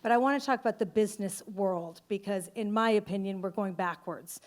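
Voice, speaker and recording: A woman moderating a business panel, forceful and rallying, with punchy declarations. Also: mid-range voice, brisk. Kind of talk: panel discussion.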